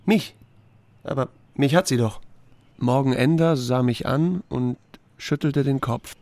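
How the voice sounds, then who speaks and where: Actor as laconic male sheriff with a creaky voice. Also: medium pitch, a little slow, darkish tone, no room sound, lightly acted. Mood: probing, restrained. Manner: terse, understated. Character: small-town sheriff, married man, reserved and laconic. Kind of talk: German radio drama, first-person narration and dialogue.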